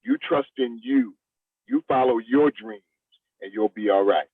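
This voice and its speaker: A man's voice: medium pitch, measured pace, breathy edge, no room sound, phone-quality sound. A man in his fifties, a veteran actor, chatting casually and plainly as an interview guest. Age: 50s. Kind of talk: casual chat.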